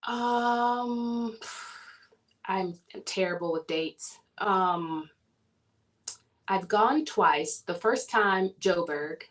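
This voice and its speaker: A woman from the southern United States chats as an interview guest. Her pitch sits in an ordinary middle range. She tells her story with animation and laughs often. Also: very slow, long pauses. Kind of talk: casual interview chat.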